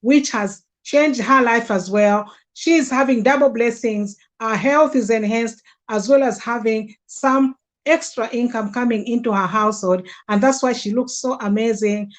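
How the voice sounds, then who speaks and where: A woman's voice: on the high side, a little slow. A woman hosting a conversational livestream, speaking in an earnest, effusive way as she promotes products. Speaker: host promoting health products.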